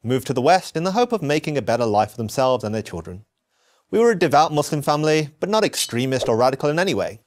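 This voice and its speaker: A man from England, giving an earnest, measured scripted narration of his own story. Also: mid-range voice, slight background noise. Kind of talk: scripted first-person narration.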